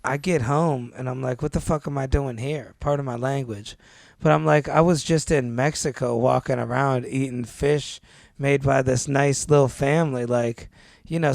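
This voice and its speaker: A man co-hosting a podcast, telling travel stories in a laid-back, animated way and calling his listener dude and bro. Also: mid-range voice, moderate pace, creaky, dry recording. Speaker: podcast co-host. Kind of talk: casual chat. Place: New York.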